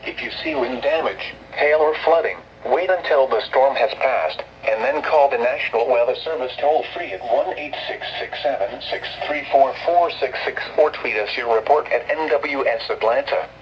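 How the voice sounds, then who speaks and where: A man announces on weather radio in a formal, synthetic-sounding way, reading a scripted emergency bulletin at a medium pitch. The broadcast reception is noisy and sounds distant, with an echo around the voice. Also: moderate pace, few pauses, lo-fi sound. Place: recorded off a radio receiver.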